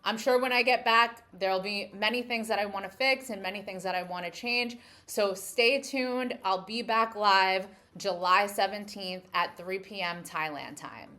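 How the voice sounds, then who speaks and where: A woman's voice: mid-range voice, normal speed, few pauses, bright, forceful tone. An American woman hosting a casual screen-share walkthrough, explaining step by step and often checking in with the listener. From United States. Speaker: travel content creator and host.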